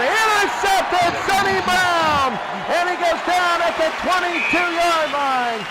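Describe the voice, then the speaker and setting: A man doing excitable play-by-play football commentary in a radio style, often repeating key phrases. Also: very high voice, very noisy.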